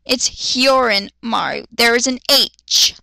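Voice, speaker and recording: Woman as male anime hero: composed, measured, corrective, bright creaky tone. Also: a little high, lively intonation, no echo, exaggerated voice, poor audio. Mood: calm, curious.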